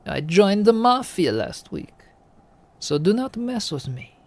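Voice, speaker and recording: A man's voice: high voice, big pitch swings, measured pace, a little mellow, voice pushed up, heavily acted. A man hamming up a boastful mafia wiseguy. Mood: mock menace. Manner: mock-tough, threatening banter.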